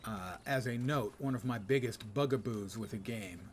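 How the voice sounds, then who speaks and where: A man's voice: on the low side, moderate pace. A man doing casual live gameplay commentary as a streamer, chatting wryly to himself and his viewers. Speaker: game livestreamer. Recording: noisy, game sound behind. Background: video game ambience.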